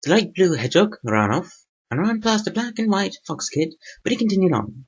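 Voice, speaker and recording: A man's voice: high-pitched, sing-song, brisk, husky, lo-fi sound, pitched above the performer's own voice, exaggerated voice. A man putting on a narrator voice to read a cartoon adventure tale aloud. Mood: dramatic narration. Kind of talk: scripted narration in a put-on voice.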